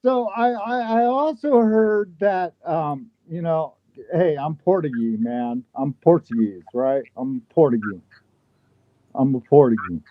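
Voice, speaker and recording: Higher-voiced man, dull lo-fi line. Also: measured pace, no echo. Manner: excitable, repeats himself, jokey disbelief. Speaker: panel participant. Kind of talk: casual live panel chat. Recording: dull lo-fi dry sound.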